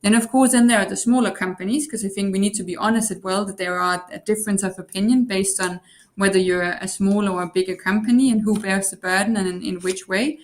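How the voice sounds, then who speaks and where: A woman speaking on a panel. Her pitch sits in a middle range, and she talks in a thoughtful, measured way, weighing each point before she moves on. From Denmark. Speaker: panelist, elected representative. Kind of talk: panel discussion.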